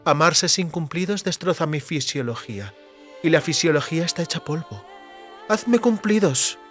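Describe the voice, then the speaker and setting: Haughty, teasing sorceress over music. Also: female voice, low-pitched, lively intonation, normal speed. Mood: coquettish, haughty. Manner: imperious, ornate, lecturing. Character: haughty, teasing sorceress lover, woman, demanding compliments. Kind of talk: audiobook drama acting. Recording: music behind the voice.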